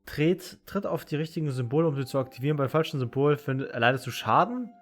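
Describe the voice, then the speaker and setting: A man's voice: fairly high pitch, expressive, fast. German man casually streaming a game. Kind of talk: casual live gameplay commentary. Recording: game music behind the voice. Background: game music.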